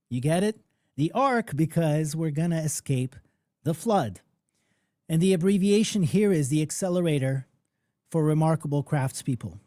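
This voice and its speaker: A man who grew up in Poland, hosting a live music-business workshop in a conversational, animated storytelling manner, often checking in with "okay?" and "right?". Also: a little high, dry recording, studio quality.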